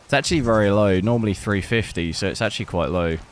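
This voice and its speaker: A man with a hoarse, lost voice, hosting a PC gaming channel and commenting casually while he plays, thinking aloud. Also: lowish voice, fast talker, slight background noise. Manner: relaxed, rambling, thinking aloud. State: hoarse, losing his voice. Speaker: PC gaming benchmark channel host.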